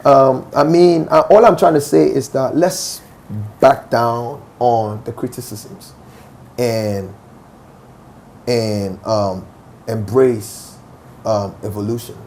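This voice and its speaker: A man's voice: mid-range voice, takes it slowly, darkish tone, slight background noise. A young Ghanaian man with a Ghanaian accent, a musician answering interview questions assertively, often checking "do you understand?". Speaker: musician guest.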